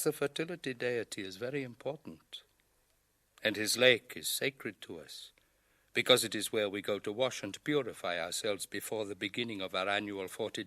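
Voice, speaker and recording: A man's voice: lowish voice, pushed and bright. An actor playing a proud, solemn village headman in a radio drama, declaiming and challenging with rhetorical questions. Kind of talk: 1980 radio drama acting.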